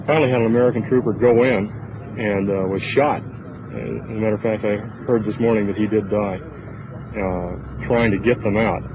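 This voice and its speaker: A man with a lowish voice gives an unscripted account in a halting, matter-of-fact way. He is recorded outdoors on old newsreel sound that is dull and clearly noisy. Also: steady tone.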